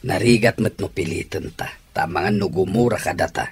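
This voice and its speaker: A man playing a middle-aged father in a radio drama, a friendly family man who reasons gently in a conversational way. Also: low-pitched, a little fast, natural voice. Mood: resigned, patient. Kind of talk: Ilocano radio drama acting.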